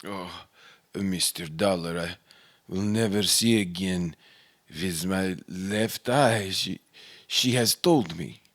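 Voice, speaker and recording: A man's voice: deep voice, takes it slowly. A man playing a frail, injured and distraught professor who stammers and repeats words in a radio melodrama. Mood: weak, grieving. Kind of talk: old-time radio melodrama acting.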